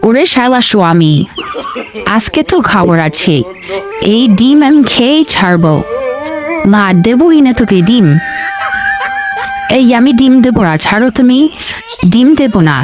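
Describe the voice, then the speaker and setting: Woman acting stubborn nagging fox wife, cartoon, sarcastic, higher voice, background music. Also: lively intonation, a little slow, pauses often. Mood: defiant.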